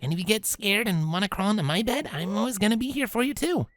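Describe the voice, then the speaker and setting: A low, tomboyish grown pegasus mare acted by a woman for a pony audio drama. She is protective, calm and reassuring. The recording is dry and close, with faint music behind. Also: fast, no room sound, lightly acted.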